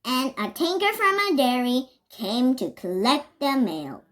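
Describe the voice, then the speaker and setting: A child reading a children's picture book aloud, carefully and a little haltingly, stressing words as they go. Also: high-pitched, sing-song, fairly bright tone. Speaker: reader.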